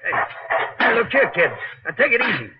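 An actor in a 1940s radio drama playing a cautious, middle-aged veteran tunnel digger and father, gruff and blunt, talking in rough working-class slang. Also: extremely high pitch, very animated, brisk, loud music behind the voice. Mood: angry, alarmed. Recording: muffled, noisy 1940s radio broadcast.

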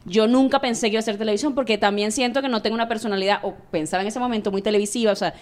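Fast-talking Venezuelan woman, chatty. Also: mid-range voice, fairly even intonation. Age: 30s. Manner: chatty and candid, rapid storytelling. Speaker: sports journalist guest. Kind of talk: casual interview chat.

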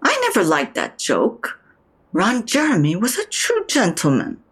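An actress playing an older mother, a former model, wistful and unashamed, with a husky voice in an audio drama. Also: mid-range voice, huge pitch swings, measured pace, fairly bright tone, exaggerated voice. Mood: wistful, defensive.

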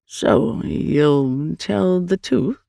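A woman's voice: lowish voice, expressive, extremely slow, vocal fry, warm tone, no room sound, studio quality, full character voice. A woman voicing a sly, placating male demon in an audiobook story, cagey and coaxing. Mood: probing.

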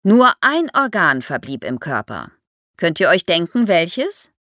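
A woman's voice: lowish voice, very animated, measured pace, sharp tone, dry recording, studio quality. A woman explains history to young listeners as an expert guest, in a didactic way, posing questions as she goes.

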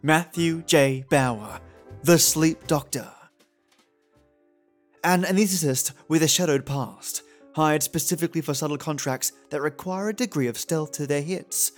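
A man's voice: a little high, unhurried. A man hosting a podcast, commenting conversationally and addressing his listeners in a chummy, affectionate manner. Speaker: podcast host. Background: music.

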